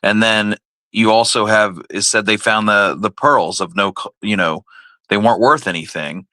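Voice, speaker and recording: A man's voice: fairly low pitch, normal speed, bright, forceful tone, no echo. A man co-hosting a show, musing aloud and posing questions in casual, speculative discussion. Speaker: co-host of a radio history show.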